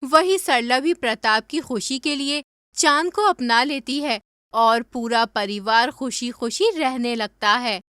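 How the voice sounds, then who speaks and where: High, bright female storyteller over music. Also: slow, no room sound. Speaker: story narrator. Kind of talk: scripted storytelling narration for a cartoon.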